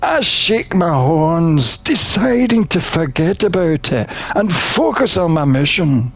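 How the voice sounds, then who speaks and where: A gamer reading a visual novel aloud as expressive scripted narration, with theatrical, deliberate phrasing. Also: medium pitch.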